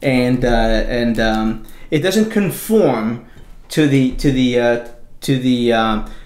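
A middle-aged man co-hosting a casual chat, explaining patiently with halting fillers into a desk microphone in a small studio. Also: medium pitch, darkish tone, roomy sound. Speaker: co-host, wine presenter. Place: small studio with shelves, indoors.

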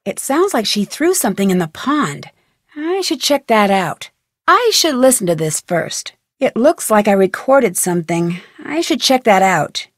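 An actress playing a plucky, curious young detective who perkily talks to herself in a video game. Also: female voice, mid-range voice, very animated, unhurried, no room sound, studio quality. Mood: curious, musing. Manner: perky, crisp, self-talking. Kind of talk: video game character lines.

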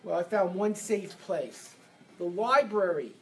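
A man from Brooklyn, giving a lively motivational seminar talk and getting the audience to answer back. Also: sits high, takes it slowly, slight background noise, poor audio. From Brooklyn, New York. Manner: energetic, leads audience call-and-response. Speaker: seminar speaker. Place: event room with a live audience.

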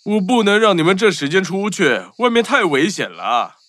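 A man's voice: high-pitched, sing-song, moderate pace, a bit bright, no echo, studio quality. A man voicing a stern old male duck security guard in a children's cartoon.

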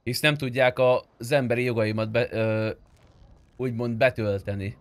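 A man's voice: medium pitch, dry recording. Close, dry-voiced male gamer. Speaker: streamer playing a roleplay game. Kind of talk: in-character gameplay roleplay with casual chat.

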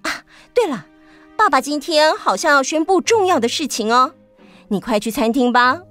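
A woman's voice: sits high, expressive, normal speed, a bit bright, soft background music, voice pushed up. A woman voicing a busy ant queen mother in a children's story, coaxing and a little offhand. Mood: distracted.

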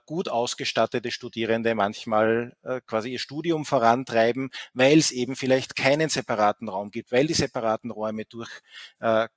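A man's voice: mid-range voice, no echo. Hesitant lecturing man, dry sound. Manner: hesitant, many fillers and restarts. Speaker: keynote speaker. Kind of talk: academic keynote lecture. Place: office, indoors. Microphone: headset, video call.

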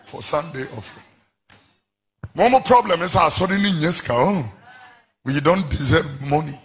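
A middle-aged man with a Ghanaian accent, a pastor preaching a fiery sermon into a handheld mic in a large church hall, shouting in sing-song refrains. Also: a little high, animated, moderate pace, breathy edge.

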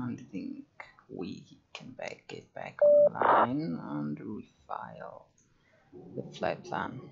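Man, virtual pilot, creaky voice, halting thinking aloud, dull lo-fi recording. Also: lowish voice, noisy background. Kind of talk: flight simulator commentary and radio calls.

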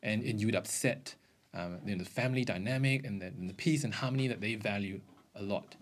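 A man's voice: fairly low pitch, quick pace, slight background noise. A man in his thirties from Australia, explaining and giving testimony as a missionary in an onstage interview with a handheld mic. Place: indoor stage with curtain backdrop. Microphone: handheld microphone.

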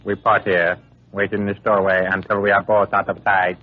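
An actor playing a sly, scheming, polite Chinese criminal in clipped formal broken English, in an old radio drama. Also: male voice, low-pitched, little pitch movement, normal speed, lightly acted. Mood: coolly scheming. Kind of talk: 1940s radio acting. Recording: muffled, noisy vintage radio recording.

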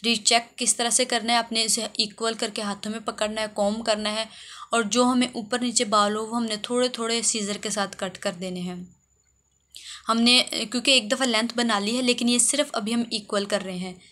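Bright-voiced woman giving a tutorial. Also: fairly high pitch, fairly even intonation, normal speed. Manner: repetitive step-by-step explaining. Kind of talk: instructional voice-over for a how-to video.

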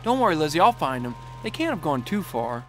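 An actor playing a cocky, friendly young race car in a video game, breezy and boyish, with an American accent. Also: male voice, a little high, very animated, brisk, soft background music.

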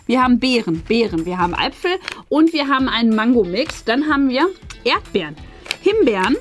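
A woman in her thirties with a high voice hosts a casual unboxing. She chats and explains to her viewers, with faint music playing behind her. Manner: chatty, explanatory. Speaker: host of an unboxing channel. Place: small furnished indoor room. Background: faint background music.

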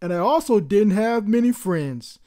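A woman's voice: medium pitch, animated, moderate pace, dark tone, close to an everyday voice. A woman voicing a proud, encouraging father in a children's storybook, speaking with reassuring emphasis.

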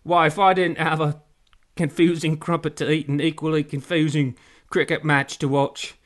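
A man's voice: a little high, flat delivery, moderate pace, voice pushed up, natural voice. A man voicing an indignant, dim-witted, angry male pirate in a comedic story reading. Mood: blustering. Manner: indignant, exclaiming.

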